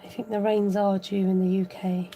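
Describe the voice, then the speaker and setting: A woman hosting a live card-making tutorial, thinking aloud as she works, chatting with viewers and reading out their comments. Her voice is a little croaky. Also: mid-range voice, little pitch movement, normal speed, mellow, a little hiss or hum. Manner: rambling, thinks aloud while working. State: slightly croaky voice. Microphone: overhead camera above a craft table, voice from off-frame.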